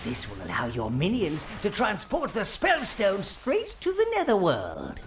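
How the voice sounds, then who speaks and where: An actor playing an elderly, servile minion adviser in a video game, dryly sinister and theatrical. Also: male voice, high voice, huge pitch swings, unhurried, soft background music, exaggerated voice. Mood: gleeful, scheming. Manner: obsequious, theatrical, dry understatement. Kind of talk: scripted video game character acting.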